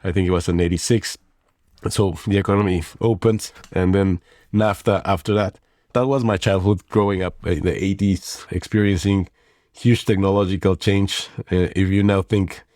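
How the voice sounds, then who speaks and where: Deep-voiced Mexican man, thoughtful guest. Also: a little mellow, no echo, clean studio sound. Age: 40s. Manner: thoughtful, rambling with frequent restarts and fillers. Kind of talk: conversational interview.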